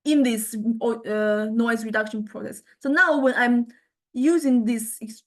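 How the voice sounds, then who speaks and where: Woman, slightly high voice, lecturer, tutorial explanation, halting, frequent check-ins. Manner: hesitant, halting delivery with repeated check-ins.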